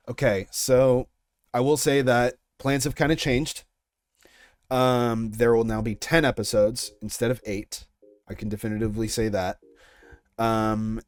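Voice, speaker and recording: Low-voiced young man, casual interview. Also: darkish tone, no echo. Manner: informal, chatty. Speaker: series creator being interviewed.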